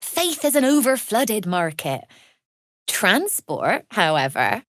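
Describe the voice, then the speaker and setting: A woman's voice: medium pitch, very animated, slow, no room sound, studio quality. A woman playing a shrewd, mercenary crime boss in a video game, wry and self-assured with cool menace. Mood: cool, sly. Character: shrewd, mercenary female Zhentarim smuggler boss, threatening and wry. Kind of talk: video game character voice lines.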